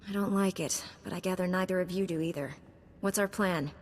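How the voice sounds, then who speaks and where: A woman's voice: fairly low pitch, fairly quick, a little hiss or hum, lightly acted. A woman voicing a composed, confident warrior heroine in a science fiction video game. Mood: composed, firm.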